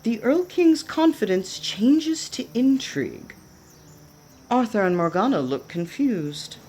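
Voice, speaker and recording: A woman's voice: fairly high pitch, sing-song, takes it slowly, dark tone. A woman reading scripted narration for a radio play, describing the action as narrator. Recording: music behind the narration. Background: background music.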